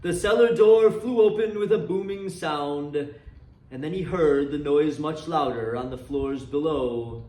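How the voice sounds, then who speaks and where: A man with a fairly high voice reads a story aloud. His delivery is dramatic and expressive, with measured phrasing. He is some distance from the microphone, and the room echoes clearly around him. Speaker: library volunteer reader and host. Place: indoor room decorated for Christmas, seated. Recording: roomy echo, distant microphone.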